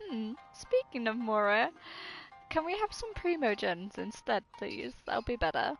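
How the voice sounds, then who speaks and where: A woman livestreamer with a fairly high voice chats casually as she plays. She rambles and hesitates in a giggly manner, with game music softly behind her. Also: very animated, unhurried, creaky, poor audio. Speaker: game streamer. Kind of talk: casual livestream chat over gameplay.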